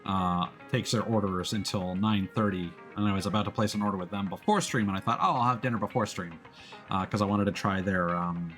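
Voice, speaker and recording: A man live streaming in casual chat, talking in a chatty, hesitant way with trailing pauses. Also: low voice, fairly even intonation, fairly bright tone. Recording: music playing behind the voice. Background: music.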